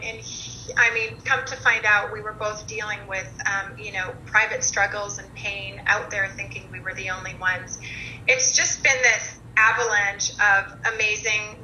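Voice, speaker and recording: Bright, hesitant woman guest. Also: mid-range voice, fairly even intonation, moderate pace. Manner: hesitant, with many ums. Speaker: podcast guest. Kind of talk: casual conversational storytelling. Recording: echoey room with faint noise.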